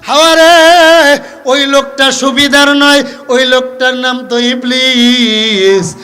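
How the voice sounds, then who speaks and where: A man's voice: very high-pitched, flat delivery, takes it slowly, faint music behind, heavily acted. A man preaching a sermon, voicing an anguished husband who laments and reproaches his wife in stretched, half-sung cries. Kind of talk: sermon storytelling. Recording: reverberant loudspeaker sound with long echo.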